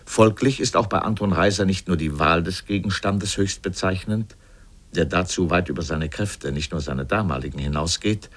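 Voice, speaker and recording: A man's voice: sits low, normal speed. A man delivering scripted literary commentary for radio in an erudite, formal and ironic manner. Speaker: essayist narrator in a radio feature.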